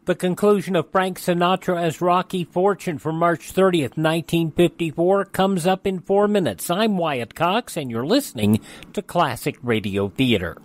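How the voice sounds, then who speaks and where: A man's voice: sits high, normal speed, dry recording. A man with an American accent hosting a radio show, reading announcements and ads in a conversational way. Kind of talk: radio host announcements and live ad reads.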